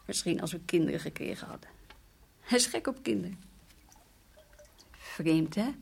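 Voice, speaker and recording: A woman's voice: on the low side, normal speed, vocal fry, a little mellow, a little hiss or hum. An actress playing a proud, unhappy Dutch colonial lady in a radio drama, with formal, emotional delivery. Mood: wistful, troubled.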